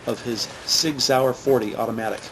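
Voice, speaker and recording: A man's voice: mid-range voice, fairly even intonation, moderate pace, noisy background. A man reading scripted present-tense narration for a crime audio drama.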